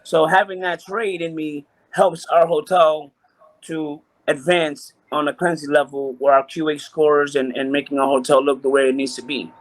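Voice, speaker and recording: A man's voice: fairly high pitch, level tone, moderate pace, rough recording. A man from Puerto Rico, a hotel chief engineer, talking as a guest over a video call, often repeating himself and restarting sentences. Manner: repeats phrases, frequent restarts. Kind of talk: casual interview chat.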